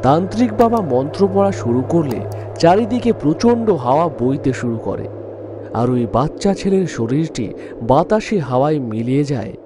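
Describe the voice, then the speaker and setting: Animated male storyteller, lively intonation. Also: fairly high pitch, normal speed, noisy background. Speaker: narrator. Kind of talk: dramatic scripted story narration.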